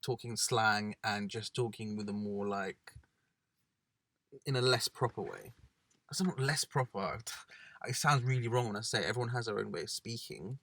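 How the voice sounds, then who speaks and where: A man from England co-hosting a casual chat in a parked car, animated and quick to imitate others. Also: on the low side. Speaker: podcast co-host.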